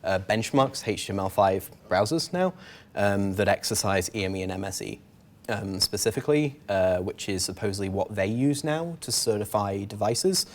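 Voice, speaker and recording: A man's voice: fairly low pitch, lively intonation, moderate pace. A man in his thirties giving a casual, wry tech meetup talk at a podium microphone. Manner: casual, filler-heavy, wry. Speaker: conference speaker.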